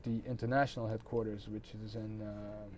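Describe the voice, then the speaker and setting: A middle-aged man speaking as a studio guest, explaining things in short phrases with many pauses. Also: on the low side, little pitch movement, darkish tone, a little hiss or hum. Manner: halting, pausing between short phrases. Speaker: talk show guest. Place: small TV studio with curtains.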